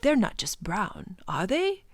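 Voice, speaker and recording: A woman voicing an earnest, enthusiastic male professor in a children's storybook, urging listeners on with dramatic emphasis and hushed words. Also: medium pitch, huge pitch swings, slow pace, dry recording, clean studio sound, full character voice. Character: older male professor, passionate, earnest, wonder-filled teacher. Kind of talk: children's storybook read-aloud.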